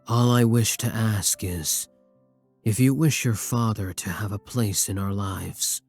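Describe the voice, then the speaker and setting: Man playing a slow, husky vampire king. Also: fairly low pitch. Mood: calm, caring. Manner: courtly, soft-spoken, formal endearments, hesitant pauses. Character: brooding, tender vampire king, devoted to his betrothed. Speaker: ASMR roleplay performer. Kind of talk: intimate romantic ASMR roleplay acting. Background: soft music.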